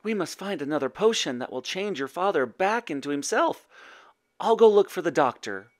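A man's voice: high voice, animated, normal speed, pitched above the performer's own voice. A man voicing a worried noble lady and mother in a storybook, urgent and resolute.

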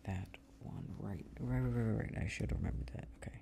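Soft, creaky-voiced man muttering to himself. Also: lowish voice, a little fast, a little hiss or hum. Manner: murmuring, half-whispered, self-talking. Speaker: audio roleplay performer playing a boyfriend. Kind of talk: soft improvised ASMR roleplay, muttering to himself while working.

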